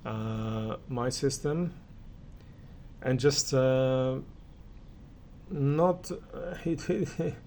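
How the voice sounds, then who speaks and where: A man's voice: medium pitch, very slow, gravelly, long pauses, warm tone, slight background noise. A man gives an unscripted spoken review of audio equipment, speaking hesitantly and often searching for his words. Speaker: audio reviewer giving listening impressions.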